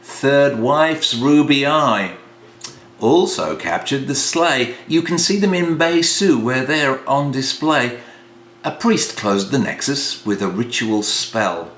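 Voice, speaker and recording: A man reciting verse as a storyteller, with a dramatic delivery that moves between hushed and exclaimed phrases. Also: mid-range voice, lively intonation, takes it slowly. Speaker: reader of a comic poem. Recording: roomy echo, faint hum.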